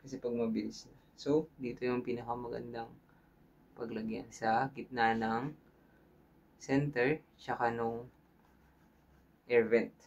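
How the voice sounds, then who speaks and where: A man's voice: medium pitch, steady tone, a little slow, vocal fry, long pauses, muffled recording, a little hiss or hum. A man with a Filipino accent hosts a hands-on tutorial in an informal way, thinking aloud and checking in with viewers. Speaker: tutorial host. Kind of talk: casual hands-on tutorial talk.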